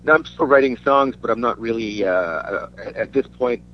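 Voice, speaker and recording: A man from Denmark giving a casual interview as a musician, talking in a halting, rambling way. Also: medium pitch. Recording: dull lo-fi audio with faint hum.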